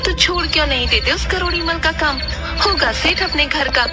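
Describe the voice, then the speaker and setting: A woman's voice: high voice, moderate pace, pitched above the performer's own voice, natural voice. A woman voicing an exasperated, nagging wife in a dramatised moral story, with questioning reproach. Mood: exasperated scolding. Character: exasperated scolding Indian wife of a goldsmith. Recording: loud music behind the voice.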